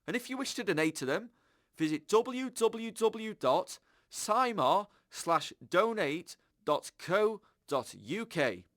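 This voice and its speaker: An actor playing an earnest, upbeat radio host, chatting to listeners in an audio drama. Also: male voice, high voice, sing-song, slow pace, a bit bright, dry recording, clean studio sound, exaggerated voice. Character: chirpy, earnest, government-trusting male radio show host.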